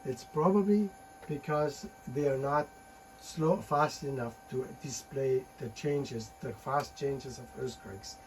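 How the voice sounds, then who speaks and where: A man giving a technical conference talk from his slides, halting often with uh and restarting words. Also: mid-range voice, slight background noise, poor audio. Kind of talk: technical presentation, reading from slides.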